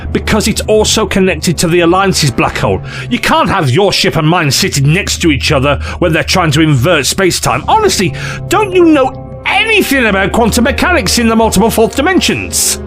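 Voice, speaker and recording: A man's voice: sits high, sing-song, fairly quick, a bit bright, soft background music. A man playing a glib, eccentric alien time traveller in an audio drama, flippant, theatrical and condescending. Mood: smug, indignant.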